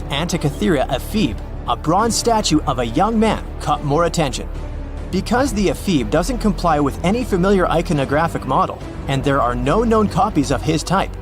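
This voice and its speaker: Guy with a slightly high voice narrating an explainer, very lively intonation, music under it. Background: background music.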